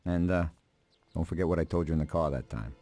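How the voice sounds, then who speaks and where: Mob bookkeeper in a crime game: very low voice, solemn, formal, anxious, music behind. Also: male voice, fast talker, dark tone. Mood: grateful, solemn. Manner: earnest, formal, polite. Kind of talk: dramatic video game dialogue.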